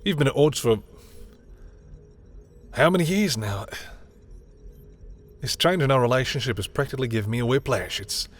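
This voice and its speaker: A man playing a proud, guarded yet tender villain in love, in an intimate romantic roleplay with dramatic, halting, confessional delivery. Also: mid-range voice, animated, soft background music, natural voice. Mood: overwhelmed, happy. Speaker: roleplay audio performer. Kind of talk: intimate second-person romantic roleplay acting.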